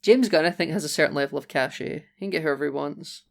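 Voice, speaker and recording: A man's voice: a little high, fast talker, gravelly. A man with a Scottish accent, co-hosting a casual film podcast in a playful, chatty way with frequent restarts. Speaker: podcast co-host. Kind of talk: casual film-review chat.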